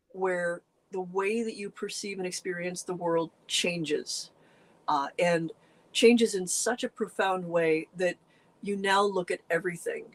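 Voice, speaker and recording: A middle-aged co-host chatting casually, telling stories earnestly with lively jumps in pitch and frequent restarts. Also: rough recording. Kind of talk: casual conversational chat.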